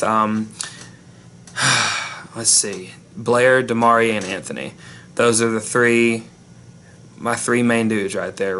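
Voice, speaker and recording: A man's voice: lowish voice, pushed and bright. Laid-back young man rambling a recap. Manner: rambling, many ums and restarts. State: recovering from losing his voice. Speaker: YouTube channel host. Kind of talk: casual vlog recap.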